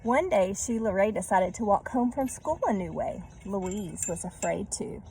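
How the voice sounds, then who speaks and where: A woman's voice: mid-range voice, animated, moderate pace, darkish tone, slight background noise, poor audio. A woman reading a children's story aloud, adding chatty asides and little questions to her listeners. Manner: checks in with listeners using tag questions.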